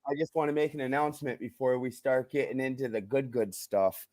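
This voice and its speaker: A man co-hosting a livestream, joking around and talking loosely with pauses and fillers. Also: mid-range voice, a little mellow, no echo. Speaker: co-host of a car audio livestream. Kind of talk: casual livestream chat.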